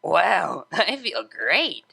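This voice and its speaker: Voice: medium pitch, sing-song, drawn-out words, gravelly, bright, forceful tone, slightly rough, full character voice. Performer doing a giddy, woozy young unicorn DJ. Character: laid-back young unicorn mare DJ, giddy, woozy, carefree.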